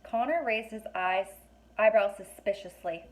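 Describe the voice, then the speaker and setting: A woman reading a children's story aloud, pausing to ask young listeners questions and explain things in a chatty way. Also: mid-range voice, rough recording. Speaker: teacher or reader reading a children's book aloud.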